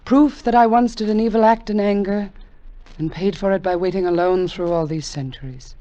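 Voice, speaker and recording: A woman's voice: medium pitch, fairly even intonation, normal speed, warm tone, natural voice. An actress playing an ageless immortal queen, commanding and lovesick, with solemn, formal speech in the style of 1940s radio drama. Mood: solemn longing. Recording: dull, muffled 1940s radio recording.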